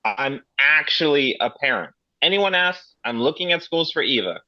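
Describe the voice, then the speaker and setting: A man's voice: on the high side, expressive, normal speed, bright, forceful tone, no room sound, highs cut off. A man reading a brash, foul-mouthed character's lines at a table read. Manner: brash and profane. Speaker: cast member at a table read. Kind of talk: casual table read performance.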